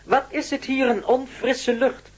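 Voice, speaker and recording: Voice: high-pitched, steady tone, measured pace, a little hiss or hum. An actor in a Dutch radio play voicing a blunt, impatient, superintelligent ancient being who speaks tersely and demandingly. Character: blunt, impatient superintelligent prehistoric being named Jack, newly taught language. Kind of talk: radio drama acting.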